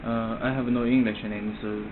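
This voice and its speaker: A young man with a Chinese accent, a language student introducing himself in halting, simple phrases in a classroom. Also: lowish voice, flat delivery. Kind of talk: informal classroom self-introduction. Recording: muffled phone-like audio, clearly noisy.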